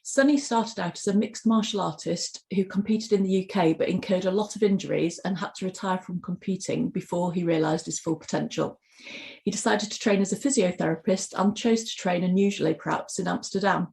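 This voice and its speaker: A woman hosting a podcast, introducing her guest in a steady, scripted way. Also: lowish voice, fairly quick, vocal fry. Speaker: podcast host.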